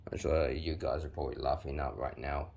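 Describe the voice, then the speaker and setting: Deep gravelly young New man. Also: a little mellow, highs cut off. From New Zealand. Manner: deliberately putting on an extra-deep voice; chatty, filler-heavy, talks while working with his hands. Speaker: vlogger. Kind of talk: casual how-to narration while working.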